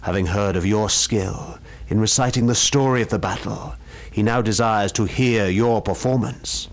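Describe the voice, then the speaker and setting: A man's voice: fairly low pitch, normal speed, lightly acted. A man voicing a ghostly samurai messenger in a storybook tale, formal and commanding. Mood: formal, reassuring. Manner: loud, formal, commanding calls. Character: ghostly samurai retainer, commanding, menacing deep-voiced stranger.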